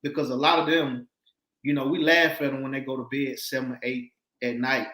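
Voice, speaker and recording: A man's voice: mid-range voice, flat delivery, a little fast, sharp tone. A man hosting a motivational interview show, talking eagerly, repeating words and stumbling over himself as he asks questions. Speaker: show host and coach. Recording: echoey room.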